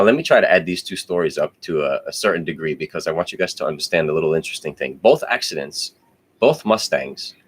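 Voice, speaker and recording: Brief: very low-voiced guy, rambling casual storyteller, lots of "uh"s and restarts. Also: fairly bright tone. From New Jersey. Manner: rambling, digressive, with many fillers and self-corrections. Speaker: podcast host.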